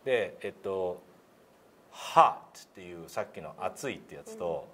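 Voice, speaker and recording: Low-pitched man teaching, lively intonation. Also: a little slow. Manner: polite, encouraging, often chuckling. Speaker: English pronunciation instructor. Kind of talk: hands-on lesson, demonstrating single sounds. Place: meeting room indoors. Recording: clear background room noise.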